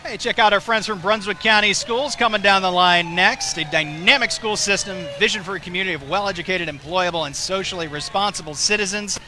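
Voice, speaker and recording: A high-voiced man with a Southern twang calls a hometown parade live from outdoors, cracking jokes and laughing as he goes. A marching tune plays clearly behind him. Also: brisk, few pauses, pushed and bright, soft background music. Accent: Southern American. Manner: jokey, chatty, laughs often. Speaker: parade commentator.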